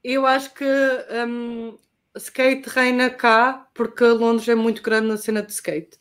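High-voiced young Portuguese woman chatting casually. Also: flat delivery, slow. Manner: informal, slangy, hesitant, mixing in English terms. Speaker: guest skater. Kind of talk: casual chat.

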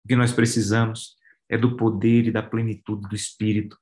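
A man's voice: on the low side, little pitch movement, moderate pace, darkish tone. Emphatic male preacher. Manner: emphatic, rising for stress. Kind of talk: radio sermon.

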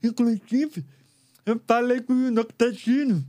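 A man's voice: very high-pitched, a little slow, mellow. A man from Rio de Janeiro who lip-reads, speaking with careful, uneven pronunciation learned artificially, as a guest in a casual interview.

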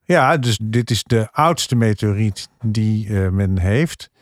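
Man for podcast review panel, lower register, conversational, very dry. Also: expressive, slow, no room sound. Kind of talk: casual review chat.

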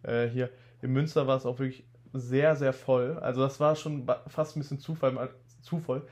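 A young man in his twenties with a slight cold, telling stories in a relaxed, casual podcast chat. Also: mid-range voice, normal speed. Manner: relaxed storytelling, laid-back slang. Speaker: podcast co-host.

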